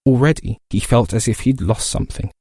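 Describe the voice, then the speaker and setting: A man's voice: fairly low pitch, fairly quick, gravelly, darkish tone, husky, no echo, clean studio sound. A man narrating literary fiction as a dramatic voice actor's reading. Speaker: voice actor performing a reel.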